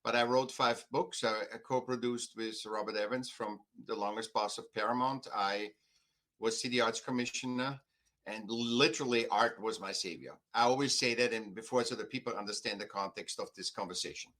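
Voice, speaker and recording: An older man from Austria with an Austrian accent, telling his own story conversationally as a podcast guest in a halting, emphatic way. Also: on the low side, fairly even intonation, bright, forceful tone. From Vienna, Austria. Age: 50s or older. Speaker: podcast guest, artist and author.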